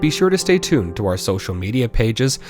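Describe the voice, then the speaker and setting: A man, the show's director, reading out the cast credits in a steady listing manner. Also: mid-range voice, fairly quick. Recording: music bed underneath.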